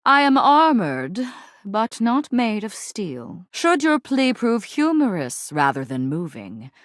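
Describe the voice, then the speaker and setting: Stately, slow-spoken warrior general. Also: female voice, mid-range voice, sing-song, dry recording, clean studio sound. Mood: stern warning. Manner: formal, grandiose, poetic, solemn. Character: proud, honor-bound warrior general, fierce yet tender. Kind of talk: archaic, Shakespearean theatrical video game acting.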